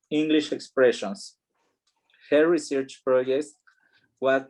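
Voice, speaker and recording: A man with a mid-range voice moderates an online academic panel. He speaks in a measured, formal way, reading introductions and a biography aloud with care. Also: medium pitch, a little mellow, rough recording.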